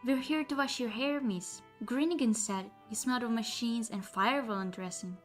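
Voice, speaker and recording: A woman's voice: on the high side, normal speed, faint music behind, natural voice. A woman voicing a polite, teasing female creature who works as a bathhouse attendant in a fantasy story. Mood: politely blunt.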